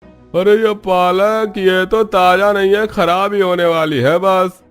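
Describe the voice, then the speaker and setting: A man's voice: sits high, steady tone, takes it slowly, clean tone, soft background music. A man playing a frank vegetable cart seller in a cartoon story, plain-spoken and emphatic. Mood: matter-of-fact.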